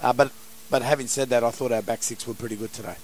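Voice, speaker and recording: Hoarse coach; halting answers after the game. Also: male voice, medium pitch, steady tone, slight background noise, rough recording. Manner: halting, many restarts and fillers. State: hoarse, has lost his voice. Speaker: football coach. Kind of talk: post-match interview.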